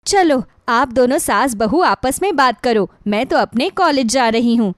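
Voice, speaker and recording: A woman's voice: on the high side, expressive, a little slow, no room sound, studio quality. A woman playing a selfish, lazy, blunt college-age daughter in a moral story. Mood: irritated. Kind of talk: acted dialogue in a moral story.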